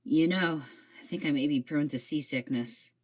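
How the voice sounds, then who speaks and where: A woman playing a deadpan ship guard. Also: low-pitched, moderate pace. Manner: dry, deadpan. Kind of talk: amateur audio drama acting.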